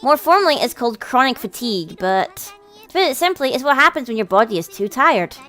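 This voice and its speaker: Woman doing a prim, high-voiced, teasing younger sister. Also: sing-song, brisk, sharp tone, faint music behind. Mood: explaining. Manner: prim, sweetly scolding. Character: composed, teasing younger sister doting on her brother.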